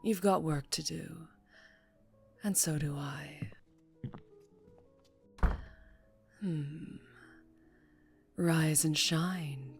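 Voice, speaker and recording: A woman playing a dangerously charming female mafia boss in an ASMR roleplay, teasing and deliberate, often slipping into whispers. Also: low voice, creaky, heavily acted. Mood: teasing menace.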